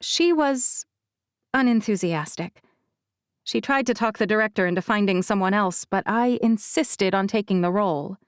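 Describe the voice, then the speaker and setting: A woman voicing a guarded, self-effacing young actress in an audiobook, telling her story in a measured, confiding way. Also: medium pitch, smooth, dry recording, studio quality, natural voice. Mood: wry, resigned. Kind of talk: audiobook character dialogue.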